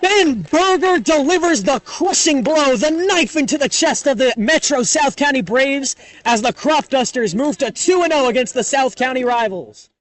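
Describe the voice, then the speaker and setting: Young man, high voice, radio baseball play-by-play, dramatic delivery. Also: expressive. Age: young adult. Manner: dramatic, drawn-out calls on big plays. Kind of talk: radio sports commentary.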